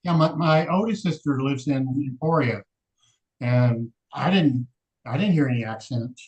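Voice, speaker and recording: A man from Texas co-hosting a sewing livestream over a video call, chiming in with quick jokes. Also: medium pitch, darkish tone, dull audio. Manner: quick joking interjections. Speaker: livestream co-host. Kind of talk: casual livestream chat.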